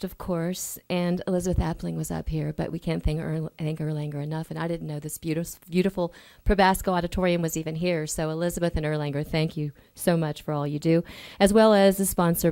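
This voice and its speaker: Mellow emcee woman reading introductions. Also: deep voice. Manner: warm, stumbling over names. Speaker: event emcee.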